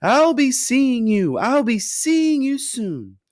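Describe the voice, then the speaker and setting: A man's voice: high voice, big pitch swings, slow, creaky, no room sound, clean studio sound, voice pushed up, full character voice. A man voicing a shy, polite young boy in a storybook reading. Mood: singing joy. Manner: quiet, polite.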